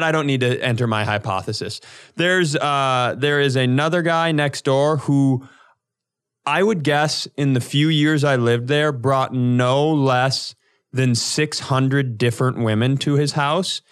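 A man co-hosting a comedy podcast, telling animated, casual stories and acting out the dialogue. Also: mid-range voice, unhurried, smooth.

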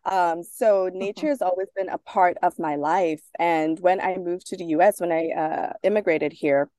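A woman from Trinidad, a guest author speaking in a relaxed interview, thoughtful and sprinkled with fillers and restarts. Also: fairly low pitch, normal speed. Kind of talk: casual interview talk.

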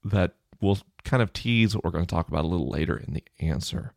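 A middle-aged man with a low, chesty voice, hosting a podcast and casually explaining research in answer to a listener question. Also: fast, creaky, no room sound, clean studio sound. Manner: conversational, thinking aloud with fillers.

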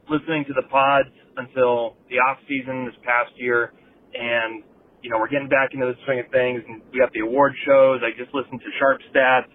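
A narrow, tinny phone recording of a man leaving a voicemail for a show. He talks casually in a mid-pitched voice. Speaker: voicemail caller.

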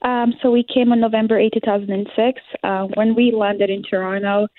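A woman's voice: fairly high pitch, flat delivery, brisk, no echo. Young Liberian woman, phone-like sound. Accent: Liberian English. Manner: hesitant, many fillers, laughs often. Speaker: interviewee. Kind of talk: interview answers.

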